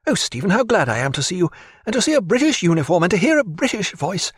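A man voicing an excitable, affectionate, gushing well-bred British woman in an audiobook, eager and emphatic. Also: high-pitched, fast talker, husky, dry recording, clean studio sound, voice pushed up, heavily acted. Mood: gushing, relieved. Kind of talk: audiobook character voice.

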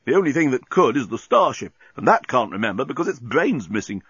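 A man's voice: mid-range voice, very animated, brisk, a bit bright, slightly rough, no room sound, highs cut off, exaggerated voice. A man playing a gloomy, condescending alien engineer in an audiobook, sounding exasperated. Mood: gloomy, dismissive. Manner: patronizing, exasperated.

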